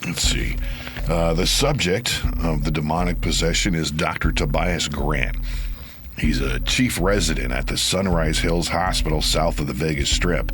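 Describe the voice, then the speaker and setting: An actor playing a modest, earnest detective in an audio drama, speaking with some hesitation. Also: male voice, very deep voice, normal speed, fairly bright tone, soft background music. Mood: modest, businesslike. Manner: hesitant, restarting. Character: modest, earnest homicide detective turned demon hunter.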